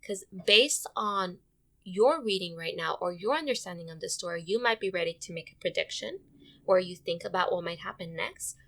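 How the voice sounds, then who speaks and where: A woman teacher giving an expressive read-aloud lesson, speaking directly to young readers and repeating her questions. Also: fairly low pitch, moderate pace, vocal fry. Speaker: elementary school teacher.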